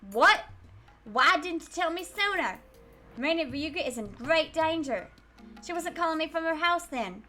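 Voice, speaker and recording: A woman's voice: very high-pitched, lively intonation, normal speed, bright, forceful tone, soft background music, voice pushed up, exaggerated voice. A woman voicing a stern male police chief who snaps out urgent orders. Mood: alarmed. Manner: barking urgent commands. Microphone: webcam and desk mic.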